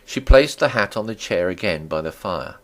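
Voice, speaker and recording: A male audiobook narrator with a fairly low voice. His literary storytelling is dramatic, with pitch that rises and falls widely through each sentence. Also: animated, moderate pace.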